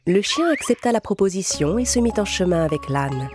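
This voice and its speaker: A woman's voice: medium pitch, lively intonation, brisk, darkish tone. A woman reading scripted storybook narration for children as the narrator of a cartoon fairy tale. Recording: music clearly behind the voice.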